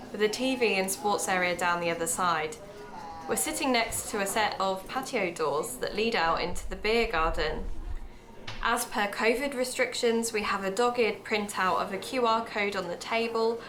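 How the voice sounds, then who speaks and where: A woman's voice: mid-range voice, close to an everyday voice. A woman playing a keen young amateur podcaster in an audio drama, chatty and explanatory in manner. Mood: describing carefully. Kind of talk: naturalistic audio drama dialogue and narration.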